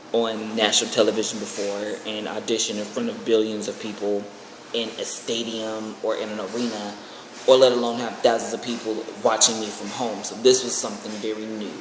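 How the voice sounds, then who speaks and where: Young vlogger just chatting, kinda excitable and rambly, big echo in the room, some background noise. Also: mid-range voice. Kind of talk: casual chat to camera.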